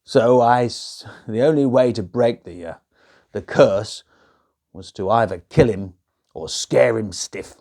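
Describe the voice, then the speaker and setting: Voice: medium pitch, unhurried, warm tone, lightly acted. A storyteller voicing a young fairy-tale prince who explains himself in a plain, hesitant way. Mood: explaining.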